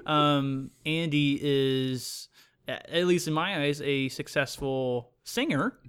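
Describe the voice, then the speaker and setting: A man's voice: on the high side, slow, a bit bright, smooth. A man co-hosting a podcast, teasing his partner in dry, casual banter. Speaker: podcast co-host. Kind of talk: casual chat.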